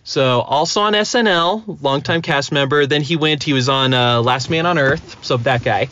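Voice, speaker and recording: A man's voice: mid-range voice, moderate pace, sharp tone. A man chatting casually as a podcast host. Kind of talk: casual chat. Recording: slightly dull, lo-fi sound.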